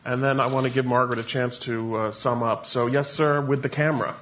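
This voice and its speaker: A man's voice: medium pitch, steady tone, fairly quick, a bit bright, slight background noise. A man moderating a public talk, speaking informally as he manages the discussion and audience questions. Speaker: event moderator.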